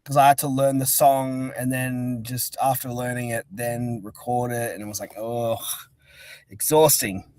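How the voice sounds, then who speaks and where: A man's voice: medium pitch, flat delivery, measured pace, darkish tone. A man chatting casually as an interview guest, talking in a rambling, chatty way. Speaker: interview guest, fan-dub creator. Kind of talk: casual chat.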